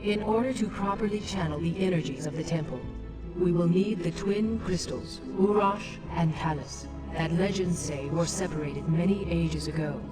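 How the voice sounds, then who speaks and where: A woman acts as an ancient female alien leader from a video game, grave and stately. Her speech is formal and measured, like a wise elder's oratory, with prominent music behind it. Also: on the low side, natural voice, rough recording. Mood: grave, commanding. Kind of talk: scripted video game dialogue.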